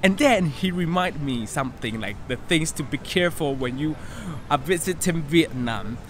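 A man's voice: fairly high pitch, expressive, moderate pace, a little hiss or hum. A young man from Taiwan, chatting loosely with plenty of fillers while filming himself on city streets. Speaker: travel vlogger. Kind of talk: casual travel vlog. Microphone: phone camera at arm's length.

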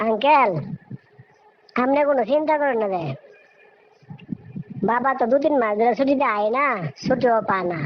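A woman's voice: sits high, moderate pace, long pauses, close to an everyday voice. Woman as polite village daughter, noisy lo-fi audio. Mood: placating. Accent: rural Bangladeshi. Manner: respectful, placating. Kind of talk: cartoon dialogue.

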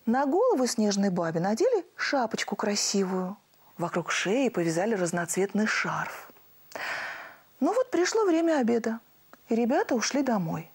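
Lively middle-aged woman storyteller. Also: a little high, moderate pace, slightly rough. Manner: warm, lively, expressive. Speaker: storyteller host. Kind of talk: children's storytelling. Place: cozy studio set. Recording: music playing behind.

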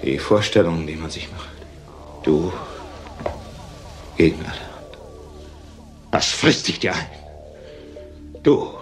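An actor playing a haunted, withdrawn ex-convict in a crime radio drama, speaking haltingly in short, broken phrases. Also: male voice, sits low. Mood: bitter, brooding. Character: haunted ex-convict confessing dark past, withdrawn. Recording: music under the dialogue.

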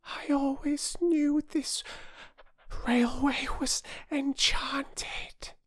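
A man reading a storybook aloud and voicing Phyllis, a timid young girl, in a breathy, whispery voice. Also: very high voice, fairly even intonation, very slow, fairly bright tone, no echo, pitched above the performer's own voice, heavily acted. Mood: awed whisper. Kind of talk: audiobook character voice.

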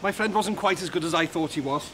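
A man's voice: high-pitched, fast talker, a bit bright, slight background noise. A man playing a hesitant, apologetic helper in a children's TV show. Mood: sheepish. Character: sheepish, apologetic man, a taxi driver's helpful pal. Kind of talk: children's TV acting.

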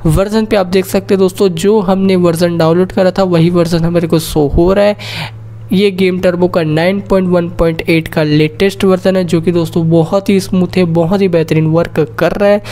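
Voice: medium pitch, fairly even intonation, normal speed, dark tone. An Indian-accented tech tutorial host narrating step-by-step phone instructions, often addressing viewers as friends.

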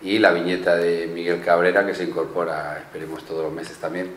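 A man, a journalist summing up news stories in a small studio, measured with small restarts. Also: sits low, flat delivery. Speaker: newspaper journalist. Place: small studio with blue backdrop. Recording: echoey studio room, faint hum.

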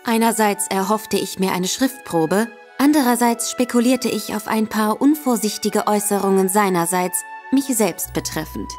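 An actress playing a clever female investigator, narrating in a measured, self-assured way in a radio play. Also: mid-range voice, a little mellow, faint music behind, lightly acted. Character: Irene Adler, a female investigator, cool and clever.

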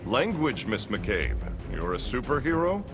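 A man's voice: medium pitch, very animated, a little slow, loud music behind the voice. A man playing a jaunty, courteous old-school superhero in a video game, genial and old-fashioned.

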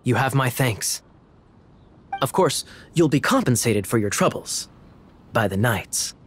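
An actor playing a suave young knight captain in a video game, smooth, playful and measured. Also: male voice, mid-range voice, sing-song, slight background noise. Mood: composed.

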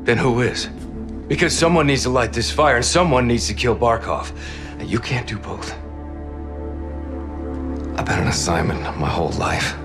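A man's voice: medium pitch, moderate pace, vocal fry, long pauses, over music, close to an everyday voice. An actor playing an earnest, loyal American soldier in his thirties, intense but restrained, in dramatic game dialogue. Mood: pleading, resolved. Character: earnest, loyal male soldier, willing to sacrifice himself. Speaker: actor in a military game cutscene.

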